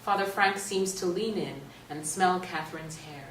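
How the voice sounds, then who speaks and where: A woman's voice: on the low side, moderate pace, fairly bright tone. A woman narrating stage directions as a scripted reading for a play. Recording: roomy, distant stage recording, faint noise.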